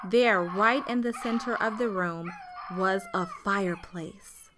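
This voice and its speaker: A woman's voice: mid-range voice, takes it slowly, background noise, close to an everyday voice. A woman playing a storyteller fondly looking back on childhood in a radio play. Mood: nostalgic. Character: grown woman fondly recalling her childhood. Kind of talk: scripted storytelling narration.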